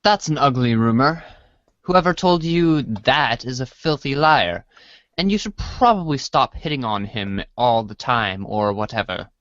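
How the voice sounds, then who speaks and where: Slow-talking goofy teenage boy, played by a man. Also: medium pitch, lively intonation, a bit bright, dry recording. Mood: defensive. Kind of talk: dramatized chat reading. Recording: dull, roomless lo-fi audio.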